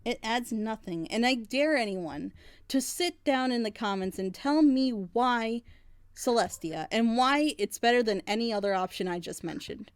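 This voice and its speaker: A woman's voice: on the high side, animated, moderate pace, smooth, no echo. A woman hosting a group reaction video, critiquing a story in a passionate, rambling way. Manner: frustrated, rambling, emphatic. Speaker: reaction channel host.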